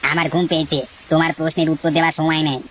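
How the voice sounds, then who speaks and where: A performer voicing a curt, evasive village chicken thief in an animated children's story. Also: medium pitch, very fast, slight background noise, natural voice. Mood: defensive.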